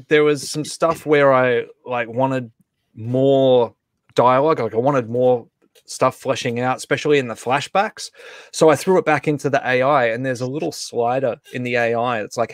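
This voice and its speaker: A man's voice: mid-range voice, dry recording. A man hosting an online table read, chatting casually with a group on a video call. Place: indoor room, video call.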